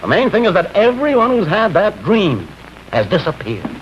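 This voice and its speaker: An actor with an American accent playing a frustrated, brooding police detective in a vintage television drama. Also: male voice, high voice, wildly swooping pitch, moderate pace. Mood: grave, intent. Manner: restless, brooding, emphatic. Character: frustrated, obsessive male police detective and husband. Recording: muffled, faintly noisy vintage television soundtrack.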